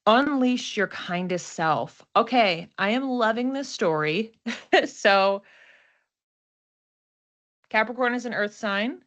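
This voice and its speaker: A woman's voice: a little high, expressive, takes it slowly, pauses often, fairly bright tone. A woman giving a casual, unscripted card and astrology reading, thinking aloud in a reflective, conversational way. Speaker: oracle card reader and astrology host.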